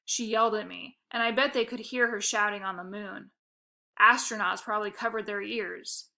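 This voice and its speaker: A woman reading a children's novel aloud in the first person, expressive and playful. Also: mid-range voice, moderate pace, creaky. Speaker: narrator.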